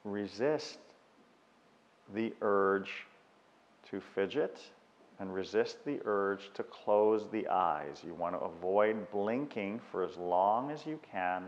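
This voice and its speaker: A man leads a guided meditation in a very low voice. He speaks very slowly and measures out each instruction, leaving long pauses. The sound carries a long, lingering reverb. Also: muffled recording.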